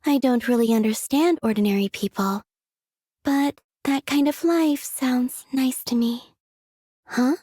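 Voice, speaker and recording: An actress voicing a gentle, aloof young woman in a video game, with a soft breathy voice and unhurried, wistful, quietly curious delivery. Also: a little high, no room sound, clean studio sound, heavily acted. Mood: dreamy musing, then gently hesitant. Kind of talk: scripted game character voice lines.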